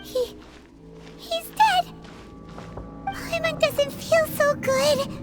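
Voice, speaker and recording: A woman voicing a tiny, excitable, easily frightened childlike fairy companion in a video game, with a squeaky voice. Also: drawn-out words, over music, full character voice. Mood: nervous, alarmed. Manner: speaks of herself in third person, expressive.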